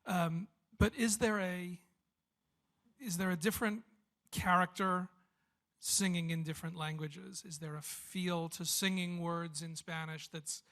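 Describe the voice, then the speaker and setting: A man's voice: fairly high pitch, a bit bright. A man interviewing a singing group, who often restarts his questions partway through and rambles as he asks them.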